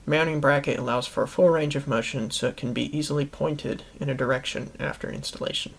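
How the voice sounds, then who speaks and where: A man's voice: medium pitch, fairly even intonation, quick pace, vocal fry, slight background noise. A man hosting a how-to video, giving instructional narration to viewers. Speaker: host of a how-to product video series.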